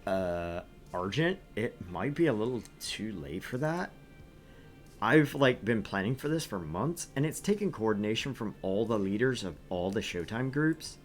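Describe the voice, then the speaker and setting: Give me a man playing a friendly young surfer guy from a game, a bit low and easygoing. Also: lively intonation, normal speed, faint music behind, lightly acted. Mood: casual. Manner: easygoing, drawling.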